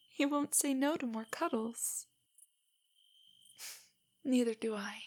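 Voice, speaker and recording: Breathy, higher-voiced nerdy girlfriend played by a woman. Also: heavily acted. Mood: tender. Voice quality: breathy, often near a whisper. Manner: rambling, giggly, hesitant, explaining trivia. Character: nervous, nerdy young girlfriend, affectionate and eager stargazer. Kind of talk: roleplay audio, intimate soft monologue to a listener.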